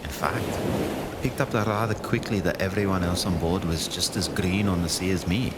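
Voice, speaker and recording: A man's voice: deep voice, moderate pace, very noisy, lightly acted. An actor playing an eager, down-on-his-luck sailor with breezy, old-fashioned folksy slang in an audio drama. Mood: uneasy narration. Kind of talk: audio drama acting and first-person narration.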